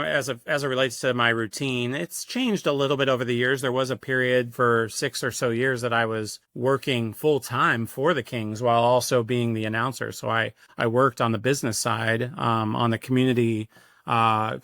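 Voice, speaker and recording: A man's voice: mid-range voice, pushed and bright, no echo, studio quality. A middle-aged American man, a sports announcer, telling stories in a casual, reflective interview with hesitant, conversational phrasing. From Sacramento, California, USA. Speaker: sports public address announcer, podcast guest.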